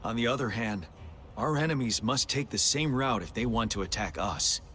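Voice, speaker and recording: A man playing a composed, resolute army general in a game cutscene, giving measured, authoritative military briefings. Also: mid-range voice, expressive, pushed and bright, slight background noise. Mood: grave, resolute. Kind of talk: scripted video game cutscene acting.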